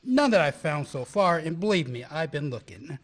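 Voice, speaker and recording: A man plays a young history grad student, playful and obsessive, laughing at his own corny jokes. His voice swings widely in pitch as he jokes and theorizes, in a homespun radio-play style. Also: lively intonation, moderate pace. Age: young adult. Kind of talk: amateur radio play acting.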